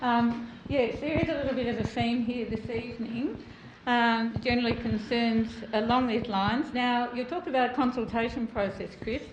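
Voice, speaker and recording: A woman with a fairly high voice puts a loud, pointed question to council. The sound is echoey and a little muffled, recorded in a council chamber with faint noise behind her. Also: fairly even intonation, moderate pace. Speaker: community member asking questions. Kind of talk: formal public question at a meeting.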